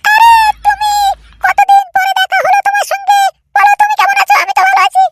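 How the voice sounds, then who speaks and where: Man as a squeaky, extremely high cartoon girl. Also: completely flat delivery, quick pace, heavy background noise. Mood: friendly. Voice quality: squeaky, artificially thin.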